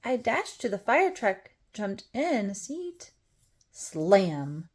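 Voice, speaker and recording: A woman narrates a rhyming picture book for children at a slow, deliberate pace. Her phrasing is expressive and her pitch sits mid-range, with music underneath. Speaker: storybook reader.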